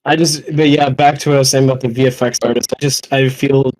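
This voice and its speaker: Young adult podcaster with a middling pitch, speaking informally and swearing freely in loose discussion. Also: steady tone, fast, a little mellow, poor audio.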